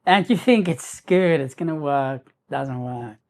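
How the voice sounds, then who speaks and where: A man's voice: fairly high pitch, expressive, a little slow. Man telling a rambling story. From South Africa. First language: Afrikaans. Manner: rambling, restarts, sprinkles in Hebrew terms. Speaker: YouTuber. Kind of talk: casual storytelling to camera.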